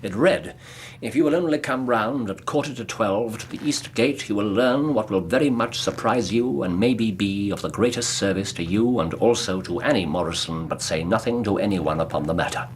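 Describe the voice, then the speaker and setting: An actor playing a brisk, clever, self-assured Victorian English detective in a radio drama, precise, theatrical and wry. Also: male voice, fairly low pitch, slight background noise, lightly acted, low-quality recording. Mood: crisp explaining.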